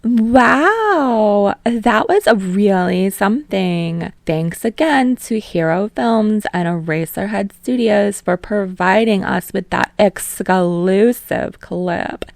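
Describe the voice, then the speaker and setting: A woman voicing a bubbly young female talk show host in a fan fiction audiobook, her lines high, loud and drawn out. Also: animated, vocal fry, dry recording, studio quality. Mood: gushing. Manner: gushing, drawn-out, playful.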